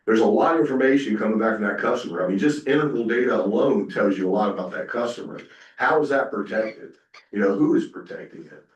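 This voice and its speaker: A man's voice: lowish voice, fast, muffled recording, slight background noise. A man giving a casual conference presentation, talking in a folksy way with lots of fillers. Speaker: conference panelist. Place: conference room. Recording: echoey room, speaker far from mic.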